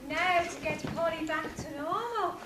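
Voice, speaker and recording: A woman's voice: extremely high pitch, a little slow. A woman playing a plucky, good-hearted heroine in a stage pantomime, emphatic and theatrical. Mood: hopeful. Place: amateur stage show in a hall. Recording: echoey hall from far off, noisy.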